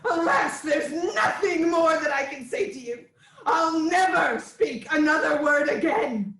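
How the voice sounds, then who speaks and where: A woman acting an anguished, imploring queen in a theatrical reading of Greek tragedy, in a dramatic drawn-out delivery. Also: sits high, fairly bright tone. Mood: grief-stricken. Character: anguished, pleading queen of ancient Thebes, mother and wife. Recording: echoey room with noise.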